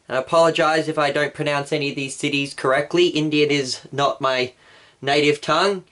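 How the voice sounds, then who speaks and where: A man's voice: fairly high pitch, a bit bright. A male pastor telling church history as a patient story for children. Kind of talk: children's Bible story lesson.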